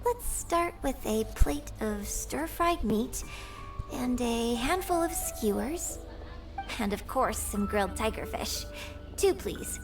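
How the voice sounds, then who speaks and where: A high-voiced young female opera star in a video game, composed and courteous. Her phrasing is measured and refined, heard a little off the mic over a clear music bed. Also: expressive, far from the mic. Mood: relaxed, pleased.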